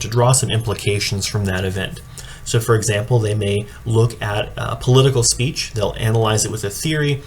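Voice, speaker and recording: A man giving an instructional presentation like a coach, explaining in a measured, matter-of-fact way. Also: lowish voice, darkish tone. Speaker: speech and debate coach training judges. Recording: clearly audible background noise.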